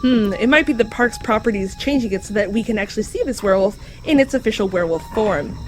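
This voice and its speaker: A woman's voice: medium pitch, expressive, quick pace, faint music behind, natural voice, poor audio. A woman voicing an easygoing shapeshifting dog creature who explains things matter-of-factly in an audio drama. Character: shapeshifting dog creature, easygoing, helpful park navigator and translator. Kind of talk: fiction podcast audio drama acting.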